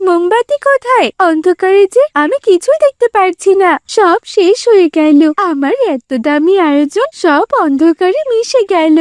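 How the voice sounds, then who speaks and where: A woman's voice: very high-pitched, animated, moderate pace, hardly any pauses, dry recording, studio quality. A woman voicing a spoiled, showy rich girl in a children's cartoon, in a dramatic, whiny manner. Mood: panicked. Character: spoiled, showy rich birthday girl who turns remorseful.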